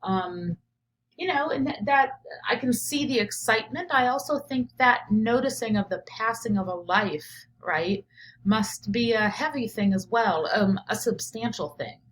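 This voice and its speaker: A woman teacher reading a picture book aloud and pausing to reflect on it out loud, often checking in with "right?". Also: fairly high pitch, animated, a little hiss or hum, low-quality recording. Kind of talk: read-aloud of a picture book with think-aloud commentary.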